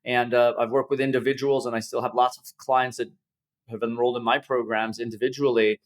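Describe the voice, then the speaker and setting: A man hosts a business podcast and frames his questions at length, restarting phrases as he goes. His voice is middle-pitched and conversational. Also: flat delivery, fairly quick. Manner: asks long, winding questions with restarts. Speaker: podcast host.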